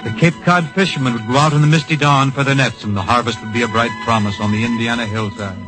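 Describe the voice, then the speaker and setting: An actor narrating a tragic radio drama in a solemn, poetic, storytelling manner. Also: male voice, mid-range voice, steady tone, quick pace, natural voice, poor audio. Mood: solemn, lyrical. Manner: lyrical, grave storytelling. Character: solemn, poetic male narrator of a patriotic tragedy. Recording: vintage radio recording with music behind.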